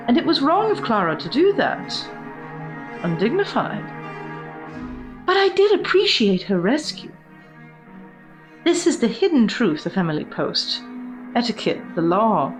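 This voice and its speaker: Woman acting a prim, high-voiced porcelain doll over music. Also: poor audio. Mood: primly righteous. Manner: formal, refined, dramatic diction with deliberate pauses. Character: prim, vain, obsessive old porcelain doll, genteel lady. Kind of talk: gothic horror audio drama monologue.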